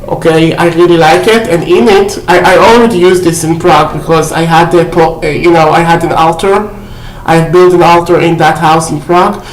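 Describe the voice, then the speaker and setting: A woman's voice: on the low side, fairly even intonation, moderate pace, few pauses. A woman casually showing off a shopping haul on her vlog, chatty and full of fillers, often checking in with "okay?". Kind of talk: casual haul video chat. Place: indoor room at home. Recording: roomy echo.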